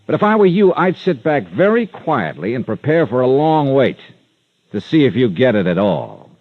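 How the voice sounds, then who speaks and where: An actor playing a tough, skeptical insurance investigator in an old radio drama, terse, dry and blunt. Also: male voice, medium pitch, big pitch swings, moderate pace, no room sound. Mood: angry, blunt. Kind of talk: 1940s-50s radio detective acting and narration. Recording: dull vintage radio recording.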